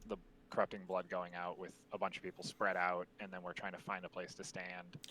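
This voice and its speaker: Streamer leading a raid, deep voice held soft, bright edge, casual fillers. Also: male voice, monotone, a little fast, slight background noise, poor audio. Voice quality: deep voice, speaks quietly on purpose. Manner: explaining, loose with many fillers. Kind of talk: casual gaming voice chat.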